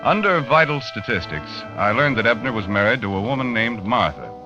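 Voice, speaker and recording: A man's voice: medium pitch, sing-song, fairly quick. An actor playing a matter-of-fact American magazine writer, narrating his investigation in an old radio drama. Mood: businesslike, curious. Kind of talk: 1940s-50s radio drama first-person narration. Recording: muffled vintage radio recording with music.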